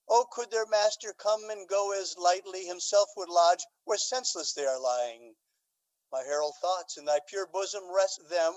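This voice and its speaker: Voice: lightly acted, low-quality recording. An actor playing a wrathful, imperious duke and outraged father in a Shakespearean radio drama, declamatory and scornful. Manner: declamatory, scornful, booming when angered.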